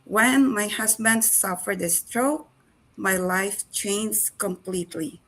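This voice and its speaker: An older woman from the Philippines, a panelist who speaks in a slow, reflective way. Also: mid-range voice, fairly bright tone, poor audio.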